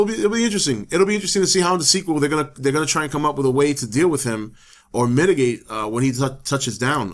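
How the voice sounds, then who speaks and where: A man hosting his own channel, talking casually to camera, a little hoarse from the flu, rambling with many fillers and restarts. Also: mid-range voice, fairly bright tone. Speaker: channel host. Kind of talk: casual opinion talk to camera.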